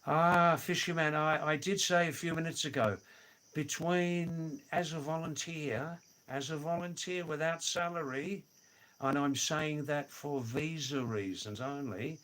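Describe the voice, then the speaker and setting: A man's voice: a little high, a bit bright. An older man hosting a casual livestream from his home in the Himalayas, answering viewer comments, repeating words and chuckling. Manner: slow, drawn-out, repeats words, chuckles "hoo hoo hoo". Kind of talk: casual live chat.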